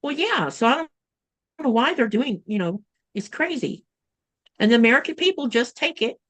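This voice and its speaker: A woman's voice: a little high, big pitch swings, normal speed, a bit bright. A woman from Florida co-hosting a casual sewing livestream over a video call, chatting and reading out viewer comments. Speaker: livestream co-host. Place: home in Greenland.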